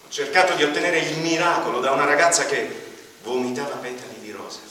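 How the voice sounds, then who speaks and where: A male actor plays an embittered, rebellious old dead man telling his life in a theatrical radio drama monologue. The voice is fairly high and carries from a distance through a very reverberant hall. The delivery is emphatic and literary, with weighty pauses. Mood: impassioned, bitter. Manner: theatrical, emphatic, deliberate pauses, swinging from loud to hushed. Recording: very echoey hall, distant microphone. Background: faint violin music.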